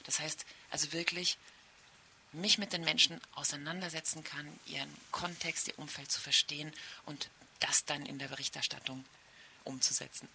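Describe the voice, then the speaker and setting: A woman's voice: deep voice, steady tone, moderate pace, sharp tone. A middle-aged woman from Germany answering interview questions spontaneously as a foreign correspondent in a radio studio. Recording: faint hiss in studio.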